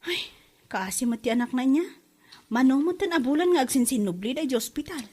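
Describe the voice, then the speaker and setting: Actress as a high-voiced, inquisitive Ilocano wife. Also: female voice, animated, fairly quick, darkish tone, breathy edge. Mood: curious, sympathetic. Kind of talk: conversational drama acting.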